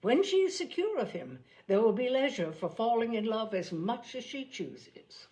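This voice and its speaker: Pragmatic young period gentlewoman played by a female narrator, opinionated, formal, lively intonation, for a storybook reading. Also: a little high. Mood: assured advising. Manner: opinionated, formal, measured phrasing. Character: pragmatic, worldly young Regency gentlewoman advising a friend.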